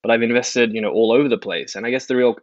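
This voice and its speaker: A man's voice: mid-range voice, steady tone, quick pace, dry recording, highs cut off. A man in his thirties with an Australian accent, talking casually and wryly as a podcast guest. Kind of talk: casual interview conversation.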